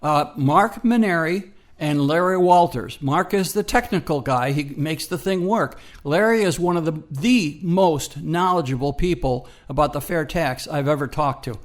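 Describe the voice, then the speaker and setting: A man with a fairly high voice speaks as a radio co-host. His delivery is casual and folksy, with hesitant stretches and repeated words to drive a point home. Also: normal speed, hardly any pauses. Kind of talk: casual promotional chat.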